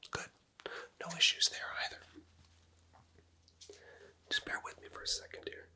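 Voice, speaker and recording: A person whispering gentle, patient clinical instructions in a close, quiet ASMR medical roleplay as a doctor. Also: measured pace. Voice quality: whispered, mostly unvoiced. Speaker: ASMR creator playing a doctor.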